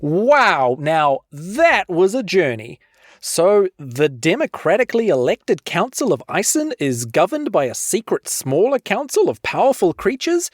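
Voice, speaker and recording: A woman's voice: lowish voice, unhurried, no echo. Female podcast host, New Zealand accent, very varied intonation, playful, theatrical, cheeky, dry sound. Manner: animated, cheeky.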